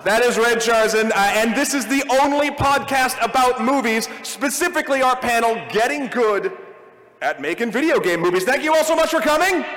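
High-voiced man hosting a live panel. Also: animated, normal speed, a bit bright, long reverb tail, poor audio. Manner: theatrical speech-giving opener. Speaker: podcast host. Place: convention panel stage.

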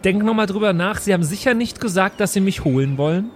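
A man's voice: high-pitched, lively intonation, fast. A man speaking as a player in a tabletop role-playing game, with faint noise behind him. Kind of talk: in-character tabletop role-play.

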